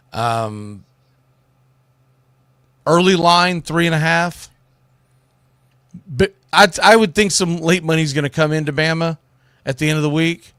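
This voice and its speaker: A man's voice: on the high side, a bit bright, no room sound, studio quality. A man hosting a sports radio show, giving opinionated commentary in a blunt, emphatic, folksy way with salty slang.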